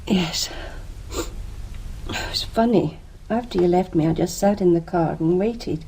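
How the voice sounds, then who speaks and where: A woman's voice: lowish voice, a little slow, warm tone, slight background noise. An actress playing a groggy, hesitant, apologetic woman in a radio drama. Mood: drowsy. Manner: hesitant, drowsy.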